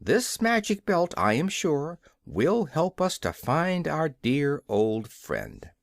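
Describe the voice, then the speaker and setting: A man's voice: fairly high pitch, huge pitch swings, takes it slowly, creaky, no echo, clean studio sound, pitched above the performer's own voice, exaggerated voice. A man voicing a brave, polite and confident young girl in a storybook reading. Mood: hopeful.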